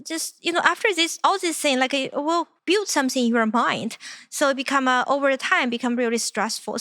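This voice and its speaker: A young woman from China speaks English with a Chinese accent, her voice a touch higher than most. She recounts her past in a halting, searching way, full of little fillers. The sound is close and dry. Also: bright, forceful tone, no room sound. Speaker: interview guest, company founder. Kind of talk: personal storytelling in conversation.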